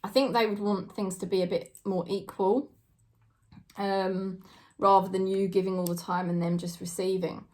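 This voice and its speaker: A woman in her forties with a British accent, giving a casual tarot reading to camera and talking in a chatty, hesitant way with many fillers and soft laughs. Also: medium pitch, level tone, normal speed. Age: 40s. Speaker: tarot reader.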